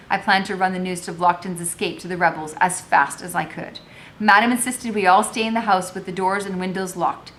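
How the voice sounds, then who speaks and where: A woman's voice: lowish voice, fairly bright tone, slight background noise. A woman teacher reading a novel aloud, moving between narration and informal explanatory commentary.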